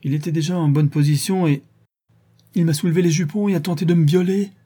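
A man's voice: fairly high pitch, fairly even intonation, quick pace, dark tone, slightly rough, pitched above the performer's own voice. A man voicing a frightened young servant woman who pleads her case in distress. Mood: distraught. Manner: pleading, distraught, words tumbling out.

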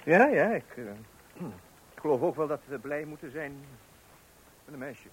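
An actor playing a mild, easily unsettled new father in his forties in a radio play, with a hesitant, halting way of talking. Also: on the high side, lightly acted. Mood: taken aback. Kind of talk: radio play acting and wry narration. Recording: noisy old radio play recording.

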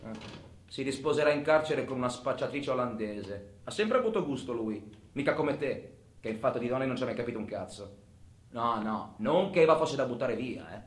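A man's voice: medium pitch, lively intonation, moderate pace, lightly acted. An actor playing a taunting, possessive male criminal in a theatrical monologue, with a sneering, foul-mouthed, volatile manner. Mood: needling, nostalgic. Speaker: stage actor. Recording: echoey room, music under the voice.

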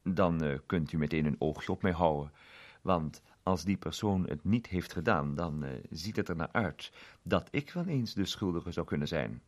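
A man's voice: deep voice, normal speed, voice pushed down. A man reading a story, voicing a cheerful, chatty traveling salesman with a breezy manner. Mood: jovial. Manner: jovial, breezy.

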